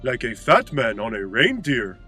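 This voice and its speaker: A man's voice: medium pitch, very animated, a little slow, pushed and bright, faint music behind. A man voicing a childlike, sensitive and confused cloned creature in an audio comedy, talking in stilted broken English about itself in the third person. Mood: matter-of-fact.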